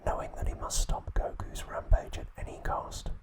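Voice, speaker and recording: A man whispering a scripted recap as an ASMR host, in an unvoiced, breathy whisper with slow, measured phrasing.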